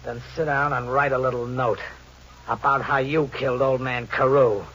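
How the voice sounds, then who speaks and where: An actor playing a hunted, vengeful drifter in an old radio thriller, talking in tough slang with melodramatic, haunted delivery. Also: male voice, mid-range voice, fairly even intonation, normal speed, fairly bright tone, breathy edge. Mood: cold, menacing. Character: hunted, desperate, vengeful male drifter on the run. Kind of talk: 1940s radio thriller acting with first-person narration. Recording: noisy, muffled old radio recording with hum.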